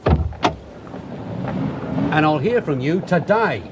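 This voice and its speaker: A man playing a brisk, authoritative British police detective sergeant in a radio drama, speaking in clipped orders. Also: on the high side, expressive. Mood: commanding. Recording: noisy background with vehicle sounds.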